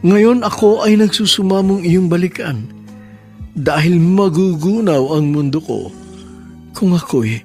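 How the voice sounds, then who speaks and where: A man's voice: high voice, expressive, a little slow, darkish tone. A man with a Filipino accent hosting and narrating a radio drama in a formal, sentimental, scripted style. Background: faint music.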